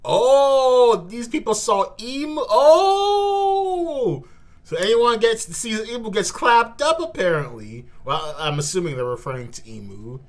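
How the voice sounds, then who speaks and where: A man hosting a live manga reaction, reading dialogue aloud and commenting with animated exclamations between slower read-aloud passages. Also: very high-pitched.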